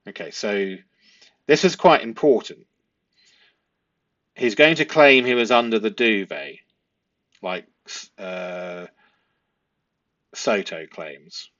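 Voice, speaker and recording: A man giving analytical commentary as a host, reading from a transcript in halting, stop-start phrases and stressing key words. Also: fairly low pitch, a bit bright, no echo. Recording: dull, muffled lo-fi audio.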